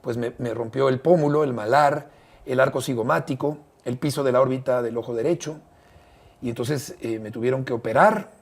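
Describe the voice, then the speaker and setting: A middle-aged man with a Mexican accent, a broadcaster telling a detailed story as an interview guest, measured and hesitant at times. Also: mid-range voice, vocal fry. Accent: Mexican Spanish. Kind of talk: conversational storytelling.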